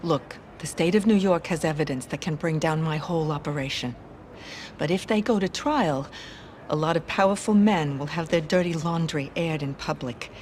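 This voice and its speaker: A woman's voice: low voice, normal speed, a little mellow, a little hiss or hum, natural voice. Woman acting hard-nosed American brothel madam. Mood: insistent. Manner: blunt, bargaining, matter-of-fact. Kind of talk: video game cutscene acting.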